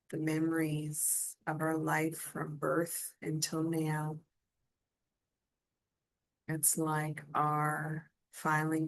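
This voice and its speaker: A woman leading a guided meditation in a soothing, gentle and inviting manner. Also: low voice, rough recording.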